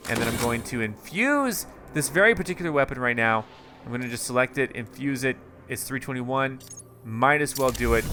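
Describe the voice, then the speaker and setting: A man's voice: medium pitch, a bit bright, a little hiss or hum. A man with a tired, strained voice casually commenting on gameplay, thinking aloud with lots of fillers. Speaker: gaming video host.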